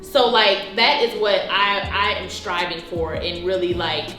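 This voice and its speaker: A woman's voice: on the low side, unhurried, pushed and bright. An American woman in her thirties in a casual chat between friends, speaking emphatically and repeating phrases for weight. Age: 30s. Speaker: host. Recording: echoey room, music underneath.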